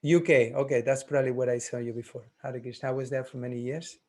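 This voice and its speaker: A man teaching a class on a video call, taking roll in a friendly, halting way, thinking aloud as he goes. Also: medium pitch, steady tone, a little mellow.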